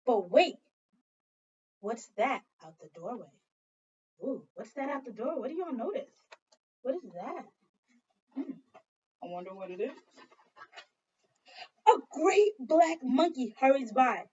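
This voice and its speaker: A woman's voice: high-pitched, very animated, takes it slowly, long pauses, warm tone, lo-fi sound, slight background noise. A woman teaching second grade, reading a story aloud expressively and talking directly to young children with questions and repetition. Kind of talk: children's read-aloud lesson.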